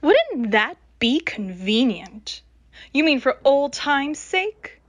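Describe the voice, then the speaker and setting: Woman acting taunting female ghost, slow drawn-out sarcasm, dry close sound, mystery radio play. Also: high-pitched, sing-song, no echo, exaggerated voice. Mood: taunting, bitter. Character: bitter, taunting ghost of a young dead woman.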